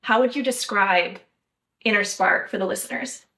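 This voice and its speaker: A young woman from the United States hosting a podcast, talking in a measured, thoughtful way with light laughs. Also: medium pitch, pushed and bright, distant mic. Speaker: podcast host. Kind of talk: casual podcast chat.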